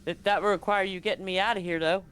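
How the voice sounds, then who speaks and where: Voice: medium pitch, steady tone, quick pace, a bit bright, clear, resonant tone, natural voice, poor audio. Game master playing a hedging, stammering shady middleman. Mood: wary, noncommittal. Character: evasive shady middleman under questioning.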